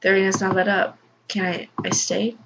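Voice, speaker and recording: A woman's voice: medium pitch, flat delivery, moderate pace, vocal fry, noisy background, natural voice. A woman voicing a meek, apologetic young girl in a visual novel read-aloud. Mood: timid, pleading. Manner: apologetic, timid. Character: meek, guilt-ridden, obedient young girl.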